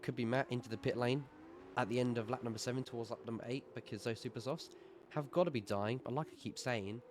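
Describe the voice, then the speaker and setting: A man with a sore throat calling a simulated motor race live in quick play-by-play. His voice sits at an ordinary middle pitch. A clear hum of noise runs behind him. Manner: brisk play-by-play, gets excited at overtakes. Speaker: sim racing commentator. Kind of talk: live esports race commentary.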